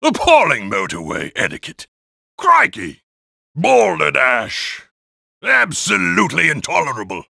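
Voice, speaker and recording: A man playing a pompous, old-fashioned British gentleman sports car in a video game, with a plummy, theatrical, exclamatory delivery and a raspy voice. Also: fairly high pitch, huge pitch swings, unhurried, no room sound, clean studio sound, full character voice. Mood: indignant. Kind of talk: animated video game character barks.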